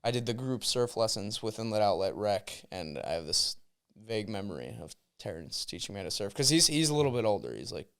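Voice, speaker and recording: Young male guest, 20s, clean resonant voice, relaxed and unassuming. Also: fairly low pitch, brisk, clean tone. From New Jersey shore. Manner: laid-back, modest, easygoing. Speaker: podcast guest. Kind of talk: casual podcast chat. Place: small podcast studio.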